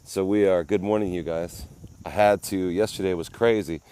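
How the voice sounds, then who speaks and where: A man's voice: low voice, steady tone, normal speed, dark tone, clear, resonant tone. Laid-back man chatting on a livestream. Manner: greets viewers by name, rambling and laughing. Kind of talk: casual live-stream chat. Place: outdoors at a garden cafe.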